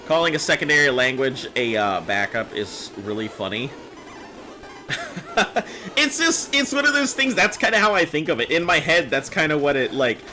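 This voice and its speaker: Bright youthful-sounding man in his thirties. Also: on the high side, huge pitch swings, moderate pace, soft background music. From United States. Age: 30s. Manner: youthful-sounding, chatty, rambling with fillers. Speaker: streamer. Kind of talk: casual stream chat.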